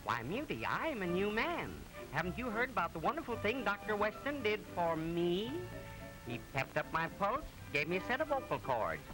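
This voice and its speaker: Brash, animated 1920s cartoon showman. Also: high voice, normal speed, music in the background, low-quality recording. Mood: proud, eager. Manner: brash, slangy, showy. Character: Talkie, cheerful boastful living film strip character. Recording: old 1920s film soundtrack.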